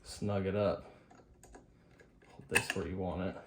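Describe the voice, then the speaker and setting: A man's voice: sits low, fairly even intonation, slow, very noisy. A man giving a casual hands-on repair demonstration, informal and upbeat, talking half to himself as he works. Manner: informal, muttering to himself while working. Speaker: host of a hi-fi repair channel.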